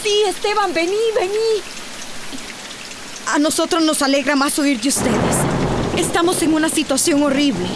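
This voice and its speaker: A woman's voice: high-pitched, expressive, normal speed, pauses often. An actress with a Central American accent playing an urgent village woman radioing for help in a radio drama. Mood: urgent, anxious. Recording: noisy, rainy background.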